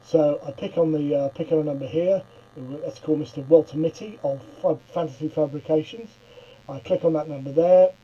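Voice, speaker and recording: Male tutorial presenter, middle-aged, very mellow and slightly high-pitched, stumbles and repeats words, muffled lo-fi sound. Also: slight background noise. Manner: halting, often stammers and repeats words. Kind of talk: informal product demonstration.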